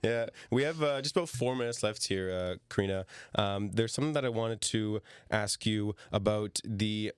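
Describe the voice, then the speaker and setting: A man hosting a podcast, chatting casually and relaxed with his guest. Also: on the low side, moderate pace, hardly any pauses, clear, resonant tone, no room sound, studio quality. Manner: relaxed, brief affirmations. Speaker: podcast host. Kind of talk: casual interview chat.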